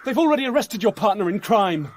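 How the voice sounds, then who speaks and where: An actor playing an urgent, accusing character confronting a killer in a radio play, with a forceful manner. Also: male voice, sits high, expressive, quick pace, a little hiss or hum.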